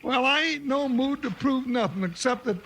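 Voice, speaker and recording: An actor playing a comic, excitable cowboy sidekick with folksy, grumbling American talk in a 1950s radio Western. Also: male voice, moderate pace. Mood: indignant. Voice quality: high-pitched, bright voice. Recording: noisy old 1950s radio recording.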